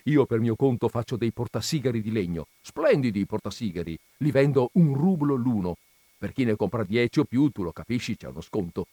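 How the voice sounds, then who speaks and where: A thin, chattering fellow from a literary tale, played by a man reading aloud. He gushes and repeats himself in excited exclamations, his pitch swooping upward. The sound is close and dry. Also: a little fast, slightly rough, dry recording. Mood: earnest, chatty. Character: thin man, effusive, chattering, flustered old school friend. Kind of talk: dramatized reading of a literary short story.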